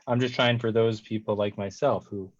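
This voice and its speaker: Low-voiced American man hosting, dull roomy sound. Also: fairly even intonation, normal speed. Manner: encouraging, restates and affirms. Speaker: podcast host, speech-language pathologist. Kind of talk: conversational interviewing.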